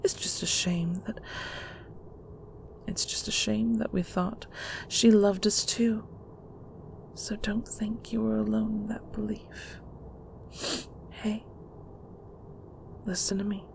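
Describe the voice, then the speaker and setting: A woman playing a stern, manipulative mother in an audio drama, condescending and deliberate, with a creaky, often hushed voice. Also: mid-range voice, flat delivery, a little hiss or hum, poor audio. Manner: slow, deliberate, condescending, with terms of endearment. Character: stern, manipulative mother of a secret magical family. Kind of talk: scripted audio roleplay drama.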